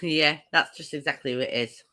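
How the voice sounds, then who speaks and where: A woman's voice: low-pitched, brisk, bright, forceful tone. A woman co-hosting a casual live chat stream, teasing and laughing often.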